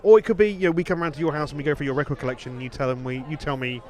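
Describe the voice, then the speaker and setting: A man's voice: fairly high pitch, steady tone, very fast, darkish tone. A man hosting a podcast in casual, reminiscing chat, rambling and often correcting himself as he goes. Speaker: podcast host.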